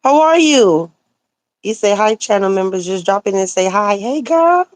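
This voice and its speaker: A woman livestreaming casual commentary, animated and sassy, answering viewer comments, her voice a little hoarse. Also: mid-range voice, moderate pace, dry recording. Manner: animated, sassy, talks back to chat comments. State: slightly hoarse. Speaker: livestreamer reading chat.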